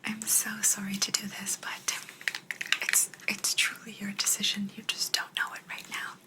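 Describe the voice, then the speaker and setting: A woman voicing an aloof, secretive alien abductor in a soft, breathy, unhurried whisper for an ASMR sci-fi roleplay. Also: medium pitch, monotone, close to an everyday voice, low-quality recording. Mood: apologetic, soothing. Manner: unhurried, hushed, personal attention. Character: aloof female alien abductor, calm, secretive, gently apologetic.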